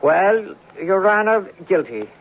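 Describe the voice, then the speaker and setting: A man's voice: high voice, very animated, takes it slowly, exaggerated voice. A tinny old radio drama with a faint hiss carries a put-upon, indignant fellow. His plaintive voice swings widely as he flusters and protests in comic exasperation. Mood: flustered, pleading.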